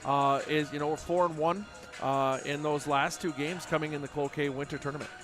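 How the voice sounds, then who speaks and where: A man hosting a local high school basketball broadcast, chatty and casual with many fillers. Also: steady tone, fairly quick, over music. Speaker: play-by-play broadcaster.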